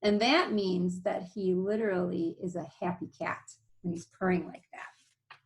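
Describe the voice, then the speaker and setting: A woman teacher reading a picture book aloud, pausing to explain things simply to young listeners. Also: fairly low pitch, fairly even intonation, poor audio.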